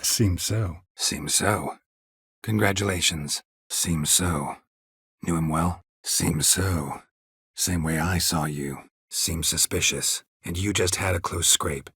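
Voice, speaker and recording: A man voicing a gruff, stoic, dry-witted monster hunter in a video game, in a husky rasp with a terse, deadpan manner. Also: low-pitched, takes it slowly, no echo, heavily acted. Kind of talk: video game character dialogue.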